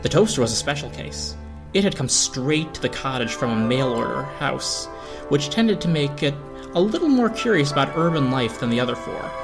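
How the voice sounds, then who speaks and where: Expressive male storybook narrator over music. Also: on the high side. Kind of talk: dramatic storybook reading. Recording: music behind the voice. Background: film score music.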